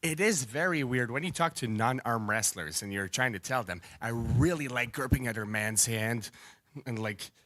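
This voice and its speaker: A man's voice: medium pitch, normal speed, sharp tone. A man arm wrestler trading jokes and trash talk at a press conference, teasing his opponent. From Canada. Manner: joking, teasing, trash-talking.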